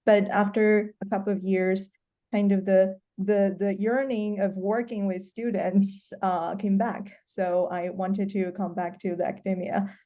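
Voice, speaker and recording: A woman's voice: mid-range voice, level tone, a little slow, dark tone. A woman in her thirties, from China, speaking as a professor on an online alumni panel, hesitant and often restarting. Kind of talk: casual panel talk. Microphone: video call.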